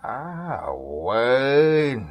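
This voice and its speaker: An actor playing a chuckling, ribbing village buddy who drawls and exclaims in a comedic radio drama. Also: male voice, medium pitch, sing-song, extremely slow. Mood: amused.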